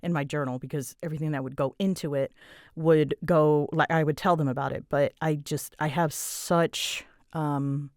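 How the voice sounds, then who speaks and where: Low-voiced woman, candid halting chat. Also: fairly even intonation, darkish tone, dry recording, studio quality. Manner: halting, self-interrupting, candid. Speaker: channel creator answering audience questions. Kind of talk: casual rambling Q&A chat.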